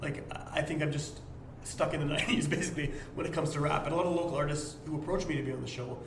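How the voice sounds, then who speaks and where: A man hosting a casual podcast interview in a basement, hoarse and wheezing because he is losing his voice, rambling in a self-deprecating way. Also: a little high, very fast, slight background noise. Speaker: podcast host. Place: home basement.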